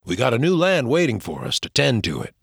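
A man doing a low, steady pioneer father. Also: fairly quick, vocal fry, breathy edge, no room sound, clean studio sound, exaggerated voice.

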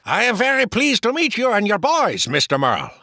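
A man voicing an excitable young shop clerk with a French accent, who stutters and chatters on. The voice sits high and swoops around, bright and sharp in tone, in a dry close recording. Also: brisk, no room sound, heavily acted. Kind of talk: audiobook character voice.

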